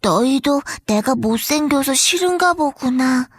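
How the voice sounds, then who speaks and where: A woman voicing a lonely young ugly duckling in a children's storybook, soft and plaintive. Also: sits high, expressive, measured pace, no room sound, studio quality. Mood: hopeful.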